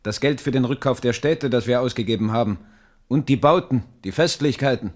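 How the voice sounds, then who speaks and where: A man acting a sober, dutiful royal minister in a German radio drama, formal, measured and insistent with his king. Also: medium pitch, close to an everyday voice. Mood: admonishing.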